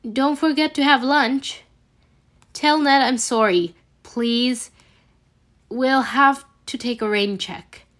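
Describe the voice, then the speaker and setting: Woman reading as a caring, hesitant aunt, slow-paced. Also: sits high, fairly bright tone, pitched above the performer's own voice. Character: caring, slightly awkward aunt on the phone.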